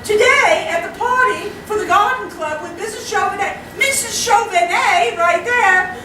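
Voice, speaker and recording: Casting: actress as haughty, exasperated middle-aged mother, stage comedy, high voice, fretful emphatic complaining, distant miking. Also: moderate pace, a crowd in the background. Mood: indignant. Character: flustered, indignant middle-aged society mother, exasperated by her brother. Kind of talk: comic stage play performed live. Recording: echoey, very noisy hall from far off.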